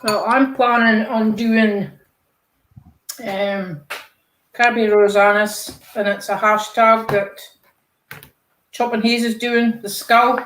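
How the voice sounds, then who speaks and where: An older woman chatting on a video call, speaking softly and trailing off at times, with a dry sense of humour. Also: fairly high pitch, steady tone, slow pace, pauses often, roomy sound, slight background noise, poor audio. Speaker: participant in a live colouring call. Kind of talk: casual chat.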